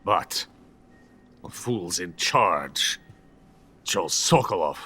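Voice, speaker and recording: An actor playing a bitter, boastful older Soviet weapons scientist who is drunk and rambles theatrically in a video game. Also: male voice, deep voice, animated, very slow, sharp tone, breathy edge, heavily acted. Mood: bitter resentment. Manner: grandiose, self-pitying, theatrical rambling. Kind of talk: dramatic video game voice acting.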